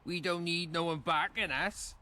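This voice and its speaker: An actor playing an angry, coarse peasant rabble-rouser in a video game, rustic and belligerent. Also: male voice, on the high side, moderate pace, pushed and bright. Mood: surly, defiant. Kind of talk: video game dialogue acting.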